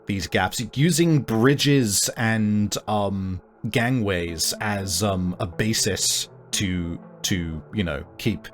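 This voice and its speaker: A man narrates a tabletop roleplaying scene in a low voice over clearly audible music. He takes his time, feeling his way through each description with frequent pauses. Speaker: game master. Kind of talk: improvised tabletop game narration.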